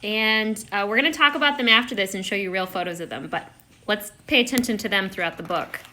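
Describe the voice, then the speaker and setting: A woman's voice: mid-range voice, fast, bright, forceful tone. A woman co-hosting a live story time, chatting casually to viewers. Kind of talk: casual chat to a live audience. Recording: clear background noise.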